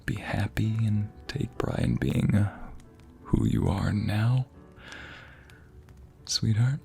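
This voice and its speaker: A man playing a doting, tender husband in an intimate ASMR roleplay, speaking softly and often slipping into breathy whispers. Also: on the low side, expressive, slow, faint music behind, heavily acted. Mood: earnest, tender. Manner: soft, breathy, lingering delivery dropping into whispers. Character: doting, tender husband comforting his sweetheart.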